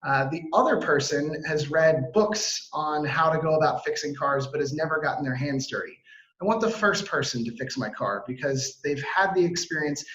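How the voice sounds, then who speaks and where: An upbeat American man in his thirties who talks fast and eagerly, stumbling over fillers and restarting his sentences. His voice sits fairly high for a man, and it rings in an echoey room over a video call. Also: fairly bright tone. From Washington, D.C. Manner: upbeat, fast, filler-heavy, self-interrupting. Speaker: webinar presenter. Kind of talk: energetic webinar presentation. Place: home or office on a video call. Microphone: video call mic.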